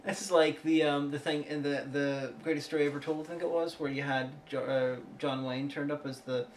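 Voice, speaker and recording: A man co-hosting a casual podcast, dropping dry, teasing remarks. His voice sits a little high for a man. A faint hiss runs under the recording. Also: flat delivery, a little fast. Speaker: podcast co-host. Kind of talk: casual chat.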